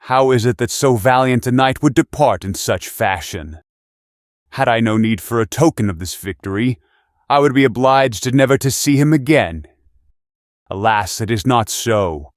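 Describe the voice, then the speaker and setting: An actor playing a valiant, courteous Arthurian knight in an audio drama, speaking in solemn, archaic, resolute phrases. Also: male voice, mid-range voice, expressive, moderate pace, no room sound, clean studio sound, lightly acted. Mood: puzzled resolve. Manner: archaic, solemn, declamatory speech. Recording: orchestral music behind the voice. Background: orchestral score, nature sounds.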